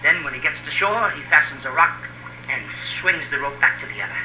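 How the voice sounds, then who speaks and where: Casting: cocky double-crossing tough guy, bright hard-edged highish voice, slangy, vintage radio adventure. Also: brisk. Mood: wheedling. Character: cocky, scheming, treacherous tough-guy adventurer, wheedling and mocking. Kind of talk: 1950s radio acting. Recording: echoey, noisy old radio recording.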